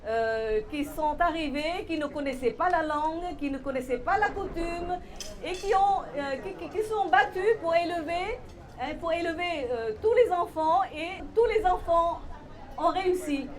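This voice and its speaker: A woman's voice: very high-pitched, unhurried. A mature woman gives an informal, heartfelt tribute speech, hesitating and repeating her words. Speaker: member of a Vietnamese-Senegalese community giving a tribute.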